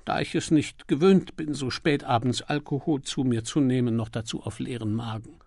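A man's voice: mid-range voice, fairly even intonation, moderate pace, darkish tone, dry recording, clean studio sound, natural voice. A man voicing a timid, prim and apologetic male witness in an audiobook, earnest and easily flustered. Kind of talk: audiobook character dialogue.

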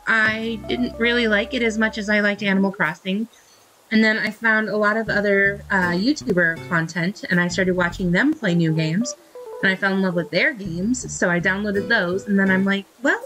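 Female game streamer, thirties, mid-range voice, relaxed rambling chat that thinks out loud, over soft guitar music. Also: medium pitch, low-quality recording. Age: 30s. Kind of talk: casual chat while playing a game.

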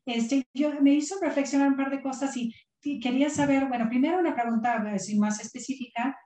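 A woman's voice: high-pitched, brisk. Chatty woman on an echoey call. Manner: warm, appreciative, chatty with fillers. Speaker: discussant asking a question. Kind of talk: online panel discussion. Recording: echoey room on a video call.

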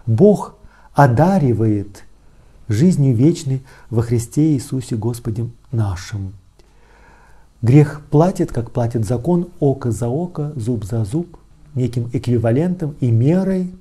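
A middle-aged man gives a reflective Bible commentary as a priest. His voice is very dark and relaxed, with a husky grain and an average male pitch. He moves through the text phrase by phrase, measured and explanatory. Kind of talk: expository religious teaching, reading and explaining scripture. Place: small indoor study with bookshelves.

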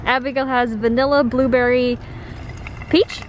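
A young woman in her twenties vlogs to a camera held at arm's length, chatty and giggly. Her voice sits high. A clear hum of noise runs behind her. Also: steady tone, measured pace, muffled recording. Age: 20s. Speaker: vlogger. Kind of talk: casual vlog chat to camera. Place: kitchen indoors, then outdoors and in a car. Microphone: handheld camera at arm's length.

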